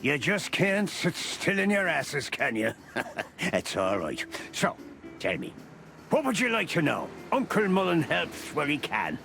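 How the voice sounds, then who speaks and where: A man's voice: fairly high pitch, huge pitch swings, unhurried, creaky, sharp tone, faint music behind, heavily acted. A man playing a jovial old beaver who sells newspapers in a noir adventure game, folksy and avuncular with a chuckling manner. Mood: amused, welcoming.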